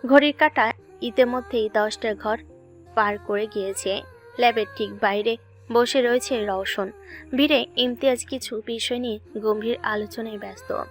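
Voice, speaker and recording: Higher-voiced woman narrating a story. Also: steady tone, moderate pace, soft background music.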